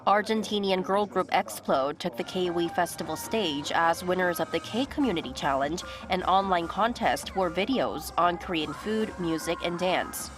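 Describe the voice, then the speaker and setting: Lower-pitched woman reporter over music. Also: moderate pace, hardly any pauses. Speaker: news reporter. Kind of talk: scripted report narration.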